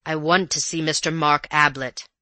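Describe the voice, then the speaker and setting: Woman doing a growling rough colonial man. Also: sits low, normal speed, dry recording. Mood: gruff suspicious. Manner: growling, loud, challenging. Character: rough gruff colonial man, suspicious and blunt.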